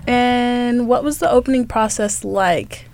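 Higher, creaky-voiced young woman interviewer. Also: a little slow. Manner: brief prompts and soft acknowledgments. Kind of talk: interview questions.

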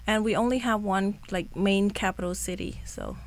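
Young female interview guest, mid-range pitch, informal and a bit hesitant, conversational answers. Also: normal speed, no echo. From Cambodia. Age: young adult. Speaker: student guest being interviewed. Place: radio studio.

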